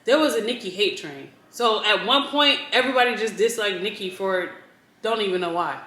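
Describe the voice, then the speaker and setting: Vlog host: a woman with a mellow voice, lively and opinionated, in an echoey room. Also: medium pitch, normal speed. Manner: animated, opinionated, playful. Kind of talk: casual chat.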